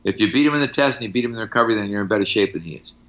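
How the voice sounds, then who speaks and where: A man's voice: lowish voice, lively intonation, rapid-fire, a bit bright, lo-fi sound. A middle-aged man, a strength coach, talking casually on a podcast in anecdotes and examples, with firm opinions.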